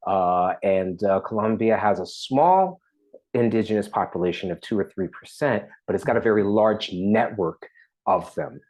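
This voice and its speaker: A man in his forties from the East Coast talks with a fairly low voice, restarting his sentences often as he thinks aloud. His delivery turns lively when a topic excites him, then settles back down. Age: 40s. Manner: halting, many restarts, lively when enthused. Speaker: documentary artist guest. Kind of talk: casual interview talk.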